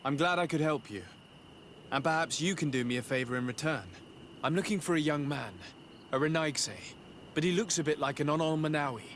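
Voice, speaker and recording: A man playing a composed, courteous young noble envoy in a video game, speaking in a polite, measured way. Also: fairly high pitch, a bit bright, a little hiss or hum, close to an everyday voice. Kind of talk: video game dialogue acting.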